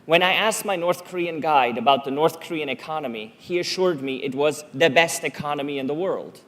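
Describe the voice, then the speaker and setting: A man's voice: a little high, a bit bright. A middle-aged man from Switzerland, a native Swiss German speaker, giving a prepared public talk on a conference stage, telling stories and asking rhetorical questions. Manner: storytelling, measured, rhetorical questions.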